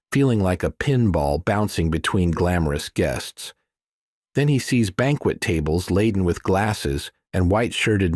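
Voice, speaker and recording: A man with a low voice reads a thriller as an audiobook narrator. The delivery is scripted storytelling, recorded very dry. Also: breathy edge, no echo.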